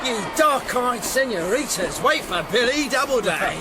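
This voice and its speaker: A man's voice: extremely high pitch, very animated, measured pace, very noisy, heavily acted. An actor playing a lusty, jeering rifleman in a radio drama. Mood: bawdy, excited. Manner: bawdy, jeering. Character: cheeky, lusty Cockney rifleman. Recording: loud crowd and music behind.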